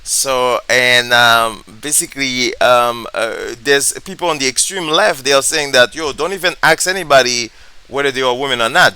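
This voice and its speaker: A man from Haiti, giving casual reaction commentary on politics, halting and thinking aloud as he searches for words. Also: mid-range voice, bright, forceful tone, smooth, no echo, low-quality recording.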